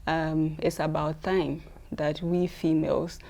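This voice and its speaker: Low gravelly-voiced woman, TV guest. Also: level tone, takes it slowly, dark tone. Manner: earnest, hesitant with fillers. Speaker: guest, business founder. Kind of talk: TV studio interview.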